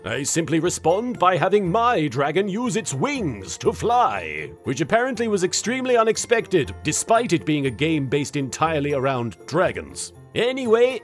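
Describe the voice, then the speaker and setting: A man voicing a smug, pompous, gleefully murderous anime pharaoh spirit in a scripted comedic monologue, mock-serious and dramatic. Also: a little high.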